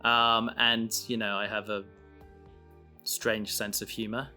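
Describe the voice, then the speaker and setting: A man chatting casually as co-host of a podcast about the audio drama he writes, thinking aloud in a halting way. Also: lowish voice, little pitch movement, bright, forceful tone, soft background music. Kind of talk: casual behind-the-scenes podcast chat.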